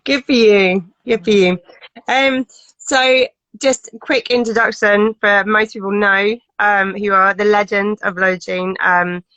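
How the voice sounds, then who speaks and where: A woman hosting a casual live stream, slightly croaky from a cold, friendly and quick to laugh. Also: mid-range voice, steady tone, fairly bright tone, dry recording, low-quality recording. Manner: friendly, laughs often, um-filled. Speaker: host. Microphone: phone or computer mic on a live stream.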